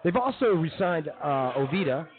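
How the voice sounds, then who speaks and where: Sports co-host: American man, quick and fairly high-voiced, colloquial, narrow phone-like broadcast sound. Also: very animated. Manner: colloquial, opinionated. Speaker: radio co-host and baseball commentator. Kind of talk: casual sports chat. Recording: tinny band-limited live broadcast.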